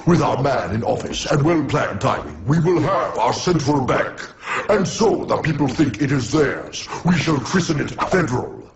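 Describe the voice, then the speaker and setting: A man's voice: mid-range voice, expressive, moderate pace, gravelly. A man voicing a scheming villain leader who speaks in a cold, commanding and scornful way. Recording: dull lo-fi with noise.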